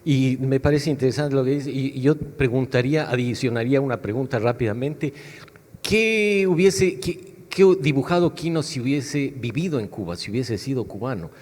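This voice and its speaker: A man at a book fair panel talks in a reflective, measured way, building up his questions. His voice sits at a middle pitch. The sound carries the long reverb of a large hall. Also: animated, darkish tone. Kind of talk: panel discussion.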